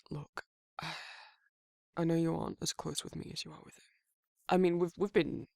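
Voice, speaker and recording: A man voicing a gruff but caring werewolf in an intimate ASMR roleplay, with a husky Northern English accent and a hesitant, informal way of talking. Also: fairly high pitch, steady tone, normal speed, mellow, no room sound, studio quality. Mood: awkward, tender. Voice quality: husky, gravelly. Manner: hesitant, informal, stumbling over feelings. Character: gruff but caring young male werewolf, awkwardly protective.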